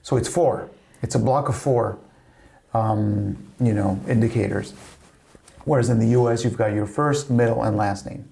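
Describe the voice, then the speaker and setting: A man's voice: on the low side, normal speed, creaky, warm tone. A middle-aged man from Spain with a slight Spanish accent, telling family stories in a rambling casual chat full of "you know". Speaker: family podcast guest.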